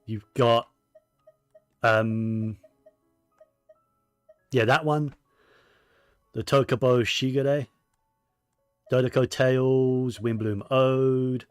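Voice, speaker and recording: A man's voice: mid-range voice, fairly even intonation, extremely slow, pauses often, clear, resonant tone, dry recording, studio quality, faint music behind. A man giving casual, rambling gaming commentary, often correcting himself as he goes. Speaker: game streamer.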